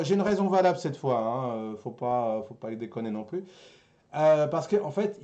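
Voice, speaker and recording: A man hosts in a fairly high but soft, mellow voice. His delivery is casual and bantering as he presents the show. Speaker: show host presenting news.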